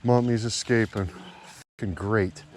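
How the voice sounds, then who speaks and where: A man's voice: on the low side, steady tone, a little slow, darkish tone. A man bantering casually outdoors, swearing freely and joking around as he vlogs. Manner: foul-mouthed, joking. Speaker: vlogger. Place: outdoors in a yard and driveway. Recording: noisy outdoor recording, vehicle and ambient noise.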